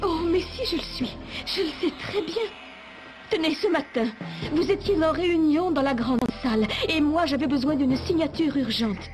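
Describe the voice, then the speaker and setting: High-voiced young cartoon heroine played by a woman. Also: normal speed, music in the background, lightly acted. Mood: tearful, hurt. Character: resourceful young woman feigning hurt before her boss. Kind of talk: French animated series dub acting.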